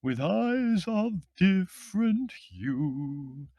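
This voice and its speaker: A man voicing a tipsy, sentimental British gentleman for an audiobook, with a very British baritone. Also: slow pace, no room sound, lo-fi sound. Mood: sentimental singing.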